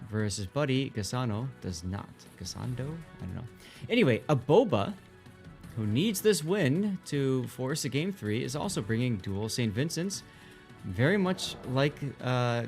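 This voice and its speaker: A male esports commentator talks through a live game in a casual, analytical style. His voice sits at an average pitch for a man. Soft music plays underneath as he explains the play.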